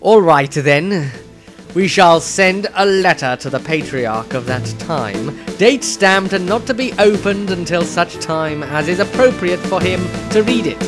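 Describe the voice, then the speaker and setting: A man's voice: sits high, lively intonation, unhurried, music in the background, voice pushed up. A man playing a grudging proud wizard. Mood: reluctant. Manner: terse, reluctant.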